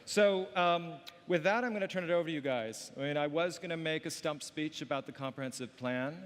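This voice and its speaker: A man's voice: on the high side, fairly quick, fairly bright tone. A man hosting an event, introducing the speakers in an informal way with fillers. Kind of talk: introductory remarks. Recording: reverberant hall.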